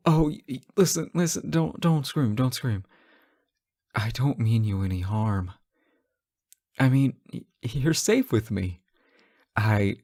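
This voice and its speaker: Man acting a slow, stammering, lovesick fallen angel. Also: lowish voice, gravelly, darkish tone. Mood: alarmed, pleading, then nervous, gentle. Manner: stammering, halting, pleading. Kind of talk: emotional roleplay audio acting addressed to the listener.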